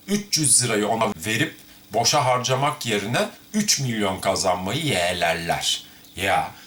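Male storyteller, lowish register, very varied intonation; theatrical, colloquial delivery with a sardonic edge. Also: fairly bright tone, slight background noise. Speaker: amateur storyteller, host of a tale-reading series. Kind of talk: expressive fairy-tale reading with informal commentary.

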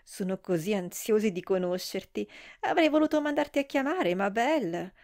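A woman's voice: mid-range voice, lively intonation, normal speed, darkish tone, natural voice. A woman voicing a curious, flirtatious middle-aged woman who asks eager, prying questions.

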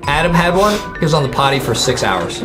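A young man with a highish voice swings up and down as he hosts a gaming video. He exclaims and teases in playful banter, with music playing behind him. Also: quick pace. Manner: animated, teasing, exclaiming. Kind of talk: excited playful banter over gameplay.

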